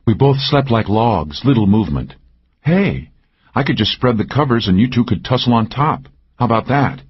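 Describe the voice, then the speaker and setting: A man's voice: mid-range voice, normal speed, breathy edge, muffled recording, pitched above the performer's own voice. A man playing a dry-witted woman agent. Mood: wry. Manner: dry, wry, deadpan. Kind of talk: audiobook character voice.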